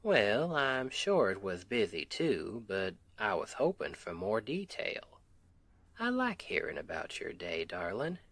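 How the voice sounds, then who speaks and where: A man's voice: fairly low pitch, very animated, a little slow, creaky, fairly bright tone, dry recording, full character voice. A man playing a sweet, weary Southern US husband and roofer in an intimate ASMR roleplay, with an affectionate, teasing, folksy drawl. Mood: curious, affectionate. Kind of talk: intimate ASMR roleplay, one side of a conversation.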